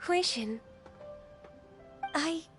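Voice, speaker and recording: A woman voicing a gentle, earnest, dutiful secretary in a video game, speaking politely. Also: very high voice, animated, very slow, exaggerated voice. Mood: moved, wistful. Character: gentle, earnest, ancient half-divine female secretary, youthful-sounding and dutiful. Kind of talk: scripted video game dialogue acting. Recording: music playing behind the voice. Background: game music.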